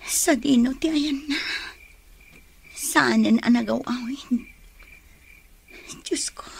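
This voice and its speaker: An actress with an Ilocano accent playing an anxious, devoted wife in an emotional radio drama. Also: female voice, fairly high pitch, creaky, a little hiss or hum. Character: anxious, devoted wife praying for her wayward husband.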